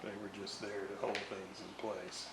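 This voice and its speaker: A man giving an unscripted hands-on demonstration at a workbench, halting and muttering to himself as he works. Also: on the low side, flat delivery. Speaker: radio restorer. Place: indoor room, at a workbench. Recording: roomy, noisy home recording.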